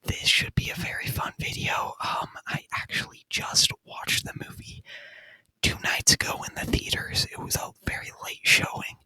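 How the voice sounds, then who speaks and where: A man speaking in a breathy whisper, chatting casually in ASMR style and softly repeating words, close to the microphone. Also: normal speed, no room sound, low-quality recording. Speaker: ASMR video creator reviewing a movie.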